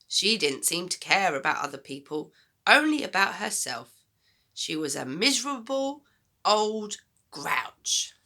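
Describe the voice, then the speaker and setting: A woman reading a children's story aloud as a teacher, in expressive narration. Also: on the low side, measured pace.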